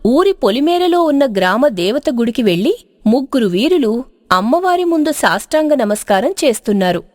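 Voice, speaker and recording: A woman delivers scripted, dramatic story narration. The voice is fairly high and very animated in pitch. The recording is dry. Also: moderate pace, no room sound.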